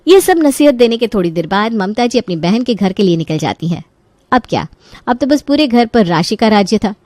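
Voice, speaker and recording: A woman's voice: medium pitch, lively intonation, a little fast, a little mellow, no room sound. A woman narrator reading a scripted story in a storytelling style.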